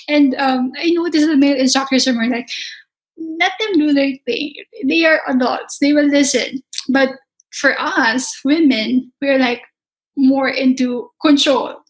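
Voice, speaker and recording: A woman's voice: sits high, a little slow, low-quality recording. A young woman from the Philippines with a Filipino accent, a culinary instructor talking casually in an interview, often repeating words as she searches for them. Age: young adult.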